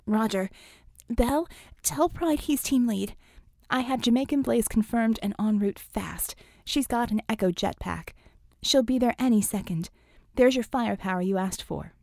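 A woman voicing a brisk, urgent female tech dispatcher for a superhero team in an audiobook, clipped and commanding. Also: on the high side, creaky, darkish tone, dry recording, studio quality.

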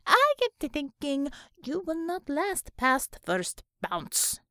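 A woman's voice: high-pitched, wildly swooping pitch, takes it slowly, sharp tone, no echo, clean studio sound, pitched above the performer's own voice, heavily acted. A woman voicing a ruthless female commissar in an audiobook, with a Russian accent and taunting, threatening broken English. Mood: menacing.

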